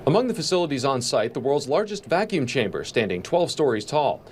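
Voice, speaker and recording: Animated American male news reporter. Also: medium pitch, a little fast. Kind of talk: scripted news narration.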